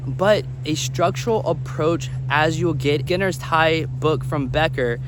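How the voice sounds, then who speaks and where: A man with a slightly high voice talks casually to his viewers, giving study advice like a vlogger. He repeats words to drive points home. There is faint outdoor traffic noise behind him. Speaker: language-learning vlogger.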